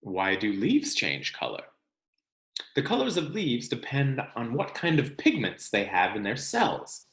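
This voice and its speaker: A man with a mid-pitched voice reads a children's science book aloud like a teacher. His intonation moves up and down in a lively way as he explains things directly to young listeners. The sound is roomy, with noticeable echo. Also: measured pace. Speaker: teacher reading aloud to students.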